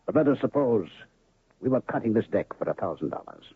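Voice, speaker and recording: A man's voice: mid-range voice, animated, fairly quick, husky. An actor playing a mysterious male medicine man and illusionist in an old radio drama, speaking grandly and theatrically. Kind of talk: 1970s radio drama acting. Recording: lo-fi phone-band old radio recording.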